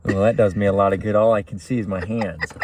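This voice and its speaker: A man's voice: lowish voice, steady tone, quick pace, noisy background. Rambling man grumbling over his gear. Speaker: channel host showing truck repairs. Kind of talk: casual how-to tutorial. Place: inside a truck at night.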